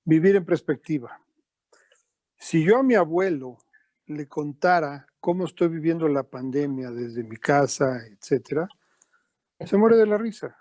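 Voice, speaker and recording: A man on a talk panel who makes his points in a measured, reflective way. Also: on the high side, expressive, a little mellow, no echo. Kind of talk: panel discussion.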